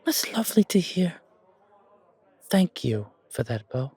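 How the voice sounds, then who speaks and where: A man plays a gracious, well-connected gentleman for an audio roleplay. His voice is pitched up, with refined, polite phrasing. The recording is close and dry. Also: big pitch swings, unhurried, husky, dry recording, heavily acted. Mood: gracious, warm.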